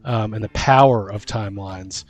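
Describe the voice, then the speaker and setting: A man's voice: lowish voice, moderate pace, a little mellow, dry recording, dull audio. A man hosting a casual chat about tabletop games as game master, talking in a rambling, slangy, informal way. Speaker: podcast host and game master. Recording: music behind the voice. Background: music.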